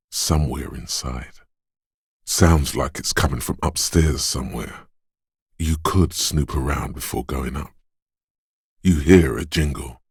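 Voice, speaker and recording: An actor voicing a watchful inner perception voice in a game, speaking slowly and deliberately in a hushed tone. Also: male voice, no echo, studio quality, exaggerated voice. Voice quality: deep husky voice. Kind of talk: game voice-over lines.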